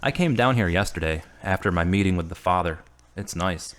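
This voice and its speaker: A man playing an earnest, moody man returned to his faith, measured and subdued, in an audio drama. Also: deep voice, fairly bright tone. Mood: reflective. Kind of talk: hobby audio drama acting.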